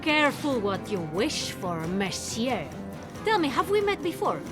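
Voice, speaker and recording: A woman's voice: high voice, sing-song, a little slow. A woman voicing a bold, witty young swordswoman hero in a video game. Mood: taunting. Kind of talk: animated video game acting. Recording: music behind the voice.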